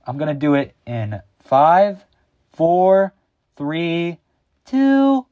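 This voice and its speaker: A young man putting on a high, hyper cartoon YouTuber voice. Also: extremely slow, no echo, phone-quality sound, heavily acted. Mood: excitable. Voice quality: high, bouncy and energetic. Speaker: YouTube host.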